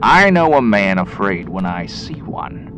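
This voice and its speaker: A man voicing a gruff, stubborn older fisherman in a horror radio story, blunt and folksy in manner. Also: fairly low pitch, big pitch swings, measured pace, music in the background. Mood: confrontational.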